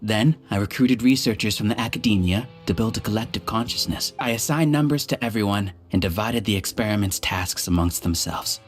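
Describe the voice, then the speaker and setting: An actor playing a smug, persuasive villainous researcher in a video game, with a condescending, grandiose way of talking. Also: male voice, on the low side, a little fast, hardly any pauses, soft background music, lightly acted. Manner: smooth-tongued, condescending, grandiose.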